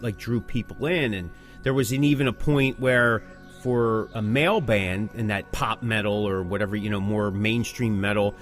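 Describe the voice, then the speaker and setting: Male podcast co-host, mid-pitched and highly animated, emphatic casual discussion with rhetorical questions. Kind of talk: casual music-industry discussion.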